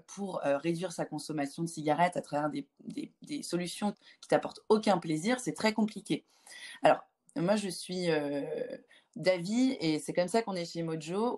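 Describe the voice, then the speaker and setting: A woman, answering conversationally in an interview, often pausing on filler sounds as she goes. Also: lowish voice, darkish tone. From Lyon, France. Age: 20s. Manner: hesitant, halting delivery with frequent filled pauses. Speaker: interview guest, start-up founder and pharmacist.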